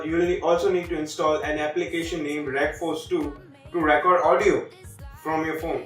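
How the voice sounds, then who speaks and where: A man with an Indian accent narrating a step-by-step software tutorial as its host, in a scripted style. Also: on the high side.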